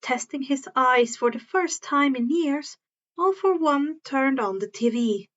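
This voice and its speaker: A woman's voice: high-pitched, animated, measured pace, a bit bright, dull audio. A woman reading a story aloud as narrator, now and then stumbling over and repeating words, on a modest home recording. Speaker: fan fiction narrator.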